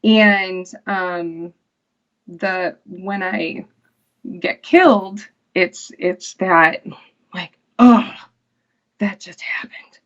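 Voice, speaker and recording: A woman, an actress, chatting casually as an interview guest, unhurried and full of fillers and restarts. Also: on the low side, fairly bright tone, highs cut off.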